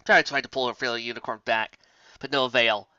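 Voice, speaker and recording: A woman's voice: extremely low pitch, fairly even intonation, brisk, bright, forceful tone. A woman narrating a fantasy story in a dramatic reading style, on a slightly dull recording. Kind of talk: dramatic reading of fan fiction.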